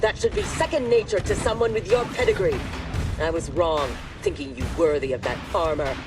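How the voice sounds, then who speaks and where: A haughty female warrior commander from a fighting game, played by an actress, with a lowish voice. She lectures with stern disdain, with reverb and music around her. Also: moderate pace, natural voice. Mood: scornful lecturing. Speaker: instructor and commander. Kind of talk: dramatic video game cutscene acting.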